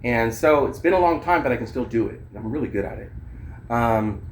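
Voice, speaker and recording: A man's voice: mid-range voice, expressive, brisk, a little hiss or hum, low-quality recording. A man chatting informally and ramblingly to camera, with slangy, sweary asides as he shows things off. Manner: informal, swearing, slangy, talking as they demonstrate gear. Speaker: vlogger and amateur songwriter. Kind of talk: casual rambling chat to camera.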